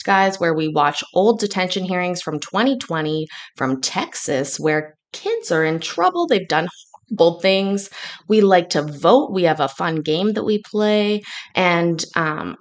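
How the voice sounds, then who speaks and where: A woman born in Scotland hosting a casual livestream from her kitchen, chatty and joking with a sarcastic streak. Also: mid-range voice. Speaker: livestream host. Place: home kitchen.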